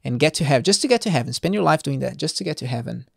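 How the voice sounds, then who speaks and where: A man's voice: fairly high pitch, expressive, racing, vocal fry, breathy edge, no room sound, clean studio sound. A man hosting an informal Bible reading, commenting off the cuff in a conversational, digressing way and putting rhetorical questions to the listener.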